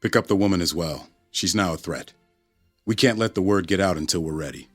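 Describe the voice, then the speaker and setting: A cold government agent with a low, rough-edged voice. He is formal and measured, quietly menacing, in a radio drama with faint music behind. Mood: cold menace.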